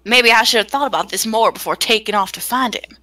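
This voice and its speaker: A woman's voice: on the high side, fast, pushed and bright. A woman narrating a visual novel among friends, slipping between scripted reading and giggly, self-mocking, casually sweary asides. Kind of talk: casual group read-aloud, narration mixed with joking.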